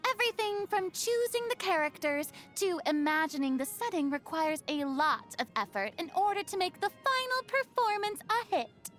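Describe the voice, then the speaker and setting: A woman's voice: very high-pitched, very animated, normal speed, hardly any pauses, a bit bright, faint music behind, exaggerated voice. A woman voicing a proud, dramatic young stage idol with a secretly wistful side in a video game, speaking in a refined, theatrical way. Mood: theatrical, musing. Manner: theatrical, refined, haughty diction with dramatic swings. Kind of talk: video game character voice lines.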